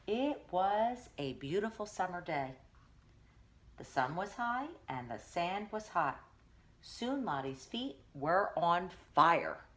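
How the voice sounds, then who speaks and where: A woman's voice: lowish voice, a bit bright. A woman teacher reading a picture book aloud, stopping to explain things to young children.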